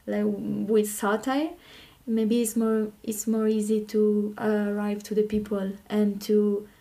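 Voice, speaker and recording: A woman from Italy with an Italian accent, talking as a podcast guest in halting, hesitant phrases. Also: medium pitch, monotone, mellow. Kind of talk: informal interview talk.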